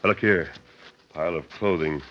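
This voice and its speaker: An actor playing an upright, resolute masked western hero in a radio drama, speaking with grave, decisive authority. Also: male voice, sits low, normal speed. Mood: grim anger. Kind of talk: old-time western radio drama acting. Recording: muffled vintage radio recording.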